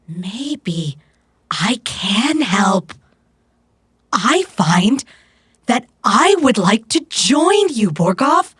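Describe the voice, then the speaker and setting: A woman playing a stoic robot in a cyberpunk audio drama, speaking in formal, measured, literal phrases. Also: mid-range voice, breathy edge, heavily acted. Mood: resolute.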